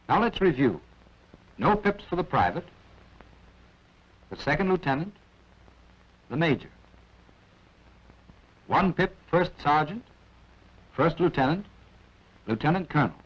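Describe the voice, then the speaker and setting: A man with an American accent narrating a 1940s military training film, instructing in a clipped way with wry asides. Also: fairly high pitch. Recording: dull, band-limited vintage film soundtrack.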